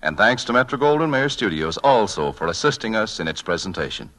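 A man's voice: fairly low pitch, sing-song, fairly quick, fairly bright tone. An American man announcing formally as host of a 1940s radio broadcast. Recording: vintage 1940s radio broadcast, music behind.

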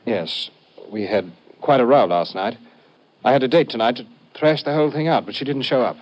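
A man's voice: medium pitch, fairly quick, a little mellow, lightly acted, poor audio. An actor playing a defensive upper-class young British man in an old radio detective drama.

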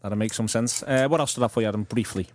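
A man's voice: fairly low pitch, slight background noise. A man with a Liverpool accent hosting a podcast, telling stories in a wry, talkative way and introducing songs. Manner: quick, wry, talkative storyteller. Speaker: podcast host. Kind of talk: casual chat and music introductions.

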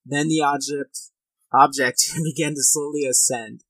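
A man's voice: a little high, fairly even intonation, unhurried, fairly bright tone, no room sound, low-quality recording. A man of thirty hosting a podcast in a casual, informal and self-deprecating way. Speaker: podcast host. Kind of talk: casual podcast talk and reading of accounts. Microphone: headset, recording at own setup.

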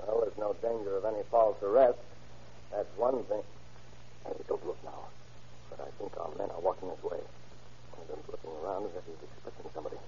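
An actor playing a shrewd, calm police detective in an old-time radio drama, with a rough-edged voice. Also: male voice, deep voice, brisk, long pauses, a little hiss or hum. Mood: shrewd, confiding. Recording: dull, grainy vintage radio recording.

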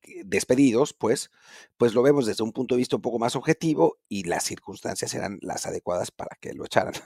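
A man with a Mexican accent talks football in a relaxed, conversational way, often checking in with tag questions. His voice is mid-pitched. The sound is dry, with no room echo. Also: fairly quick. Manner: casual, thinking aloud with frequent tag questions. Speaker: podcast co-host. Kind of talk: casual sports chat.

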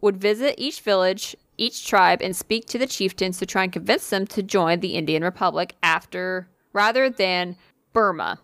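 A woman's voice: mid-range voice, normal speed, fairly bright tone, no echo. A woman casually reading a spooky listener story aloud on a podcast, with fillers and asides. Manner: reads with occasional fillers and asides.

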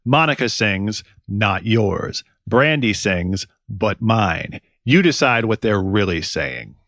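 A man hosting a music podcast, reading scripted commentary in a dry, wry, deadpan way. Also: fairly low pitch, slow, no echo. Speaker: podcast host.

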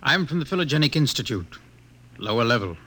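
An actor playing a bitter, educated genetic outcast in a classic radio drama, articulate and sardonic. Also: male voice, medium pitch, sing-song, a little fast, a bit bright. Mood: wry, guarded. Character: bitter, defiant, educated outcast specimen with twisted leg.

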